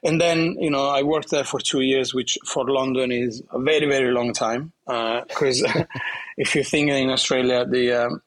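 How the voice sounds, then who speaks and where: A man from southern Italy speaking English with an Italian accent as an interview guest, talking in a halting way with many restarts and small laughs. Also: mid-range voice, steady tone, a bit bright, rough recording. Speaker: guest bartender. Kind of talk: casual interview chat.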